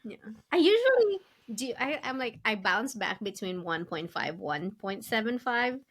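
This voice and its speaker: A woman's voice: a little high, sing-song, a little slow, a bit bright, slightly rough. A woman co-hosting a podcast, chatting informally and meandering through her questions and anecdotes, often quoting herself. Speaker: podcast co-host. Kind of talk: casual interview chat.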